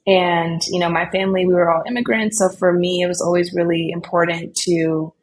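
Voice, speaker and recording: A young woman chats easily as a podcast guest, dropping plenty of "like" and "you know" as she speaks. Her voice sits on the low side for a woman. A faint hiss of noise runs under her speech. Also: level tone, brisk. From Colombia, raised in California Bay Area. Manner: chatty, frequent "like" and "you know". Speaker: podcast guest, developer relations lead. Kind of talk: casual interview chat.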